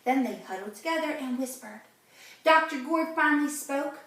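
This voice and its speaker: Woman, storybook read-aloud for kids: high, bright voice, exclaiming teacher-like delivery. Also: normal speed. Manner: animated, exclaiming, teacher-like questioning. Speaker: reader of a children's read-aloud. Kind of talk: expressive storybook read-aloud with asides to young listeners. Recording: very echoey room, distant mic.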